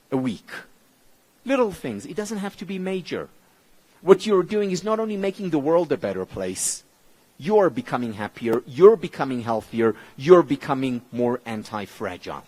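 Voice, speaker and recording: A man whose mother tongue is Hebrew gives a motivational conference talk in English, with measured, emphatic phrasing and deliberate pauses. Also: rough recording. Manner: lively, expressive pitch, dropping low on key words. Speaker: keynote speaker.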